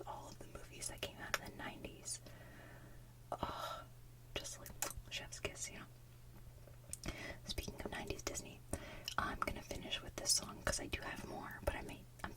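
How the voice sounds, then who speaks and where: A woman hosting ASMR, chatting casually in a soft whisper like an enthusiastic fan who rambles as she goes. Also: on the high side, far from the mic. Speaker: ASMR video host.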